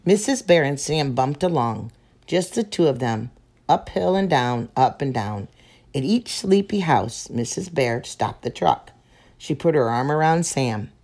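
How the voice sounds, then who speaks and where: Lady reading a kids storybook, low voice, real slow and soft. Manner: slow, gentle and expressive. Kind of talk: children's read-aloud.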